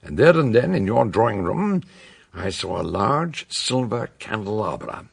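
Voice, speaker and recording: Older scholarly sleuth, gravelly, animated swings, oratorical, emphatic, radio mystery style. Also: male voice, lowish voice, measured pace, full character voice. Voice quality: gravelly, husky. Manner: theatrical, ponderous, oratorical. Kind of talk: radio detective drama acting.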